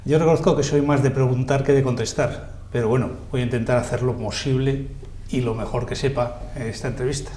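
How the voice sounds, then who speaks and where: Mellow-voiced man with a Madrid accent. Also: mid-range voice, fairly even intonation, fairly quick, slight background noise. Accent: Madrid Spanish. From Spain, Madrid. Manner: self-deprecating storyteller, modest. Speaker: guest, lawyer and journalist. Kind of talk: relaxed interview conversation. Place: TV studio.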